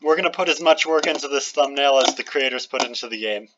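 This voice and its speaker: A man's voice: medium pitch, quick pace. A man giving casual, sarcastic and crude commentary while playing a game. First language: English. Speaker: game player and co-host. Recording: dull lo-fi mic, noisy, clicks. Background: computer clicks and typing.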